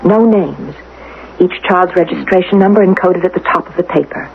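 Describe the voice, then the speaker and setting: A woman's voice: lowish voice, moderate pace, gravelly. An actress playing an anxious, doubting wife and mother in an old-time radio drama, fretful and questioning. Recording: tinny, band-limited, hissy old radio recording.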